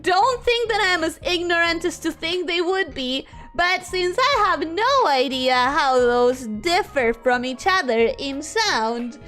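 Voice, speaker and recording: High, bright-voiced young woman streamer. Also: a little slow, hardly any pauses, soft background music. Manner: expressive, swings between high squeals and low mutters. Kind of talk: casual chat reading viewer messages.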